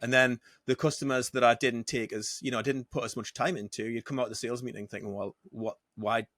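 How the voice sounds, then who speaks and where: A man co-hosting a podcast, reflecting on his own past sales work in a relaxed anecdotal way. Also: medium pitch, fast talker, a crowd in the background. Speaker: podcast co-host. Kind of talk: casual podcast conversation.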